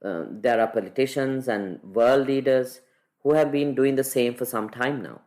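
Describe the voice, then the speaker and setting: A podcast host steers a news roundup with a wry, questioning touch. The voice sits in a middle pitch, and the speech pauses often on "uh" and "um" between points. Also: a little mellow. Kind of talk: conversational news analysis.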